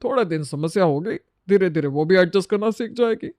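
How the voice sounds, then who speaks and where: A man acts a middle-aged Indian husband in a domestic storybook tale. His high-pitched voice is exasperated and thrifty, lecturing with sarcasm. The sound is dry and close. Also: animated, fairly quick, darkish tone, no room sound.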